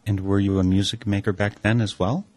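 A man hosting a radio interview, reading a smooth scripted introduction and then asking plain questions. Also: on the low side, fairly even intonation, brisk, darkish tone, no echo. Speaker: radio host.

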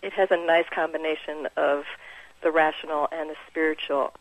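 A woman's voice: sits low, little pitch movement, normal speed, no echo, poor audio. An American woman, a musician, speaking casually and informally as an interview guest. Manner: chuckles often, informal.

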